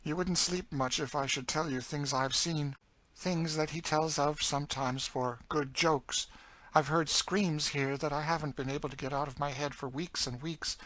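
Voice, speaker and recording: A man's voice: on the high side, fairly even intonation, normal speed, fairly bright tone, pitched above the performer's own voice, lightly acted. A man voicing a bitter, despairing, world-weary enslaved woman in an audiobook, with a dry, emphatic delivery. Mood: haunted, ominous. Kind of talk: dramatised audiobook reading of a classic novel.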